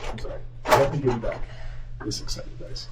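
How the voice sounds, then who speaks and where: A man's voice: mid-range voice, fairly even intonation, fairly quick. A man running a board game session, casually explaining the rules as he goes. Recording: noisy room with clicks and game-piece handling.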